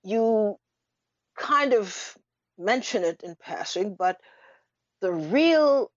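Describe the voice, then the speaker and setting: A woman hosts a radio talk show in dull, lo-fi sound. She speaks slowly and emphatically, posing rhetorical questions and leaving deliberate pauses. The recording is dry, with very little room around her voice. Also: fairly low pitch, dry recording. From Trinidad. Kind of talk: unscripted radio commentary.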